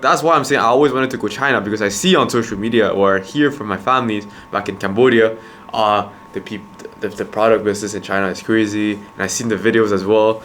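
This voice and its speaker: Brief: young man, slightly low voice, earnest vlog monologue, stop-start phrasing, faint room ambience. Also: fairly bright tone, a little hiss or hum. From Quebec, Canada. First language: Khmer. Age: young adult. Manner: halting, many restarts and repeated words, earnest. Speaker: vlogger, entrepreneur. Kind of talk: reflective casual monologue to camera.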